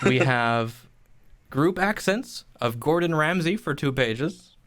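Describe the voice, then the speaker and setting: A man's voice: on the high side, expressive, slow pace, a bit bright. A man hosting a show, talking casually through announcements. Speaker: show host. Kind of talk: casual live-show chat.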